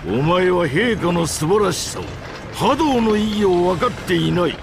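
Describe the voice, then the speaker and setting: Gravelly, pompous imperial general villain. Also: male voice, sits high, animated, normal speed, very noisy. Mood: indignant, fervent. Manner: pompous, archaic grand phrasing. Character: haughty, fanatically loyal male imperial general, pompous villain. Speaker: voice actor. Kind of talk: theatrical Japanese video game villain acting.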